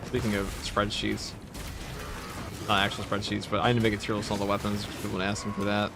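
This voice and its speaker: Low-voiced streamer reading chat, noisy. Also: male voice, fast talker, gravelly. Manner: relaxed, reads viewer messages aloud and riffs on them. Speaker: streamer host. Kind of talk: casual livestream chat, reading chat. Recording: background noise under voice.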